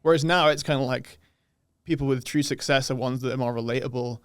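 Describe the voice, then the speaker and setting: A young man from the UK with a softened English accent, chatting casually as a podcast guest and laughing easily. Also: mid-range voice, brisk, smooth, no echo. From United Kingdom. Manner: relaxed, laughs often, swears casually.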